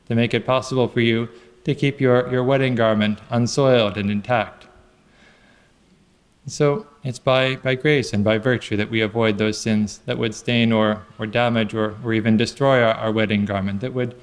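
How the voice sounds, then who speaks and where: Priest in his forties, mid-pitched, halting homily delivery, echoing church. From England. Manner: halting, repeats words, many "you know" fillers. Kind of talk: sermon. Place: large church sanctuary. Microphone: lectern microphone. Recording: echoey church with long reverb.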